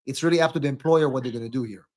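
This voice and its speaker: Guy doing a casual live q&a as a lawyer, mid pitch, repeats himself, says right? a lot. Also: rapid-fire, warm tone. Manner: repeats words, tags sentences with "right?". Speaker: immigration attorney hosting a live call-in stream. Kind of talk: casual live-stream advice, off the cuff.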